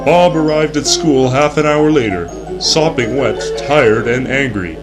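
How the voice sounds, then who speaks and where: A man's voice: medium pitch, measured pace, over music. A young man reading scripted narration in the earnest, formal announcer style of a 1960s educational film. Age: young adult.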